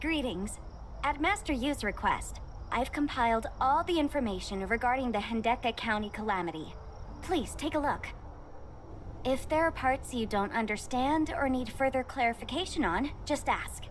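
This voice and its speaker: A woman's voice: on the high side, expressive, normal speed, slight background noise, lightly acted. A woman playing a polite, studious researcher in a video game, explaining things formally and helpfully. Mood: courteous. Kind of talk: scripted video game voice acting.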